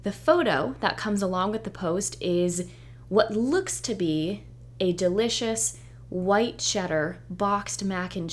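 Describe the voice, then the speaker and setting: Woman, young adult, solo podcast host; voice a bit hoarse, upbeat and encouraging delivery. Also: mid-range voice. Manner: chatty, upbeat and reassuring. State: hoarse, nearly losing her voice. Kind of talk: solo podcast intro monologue.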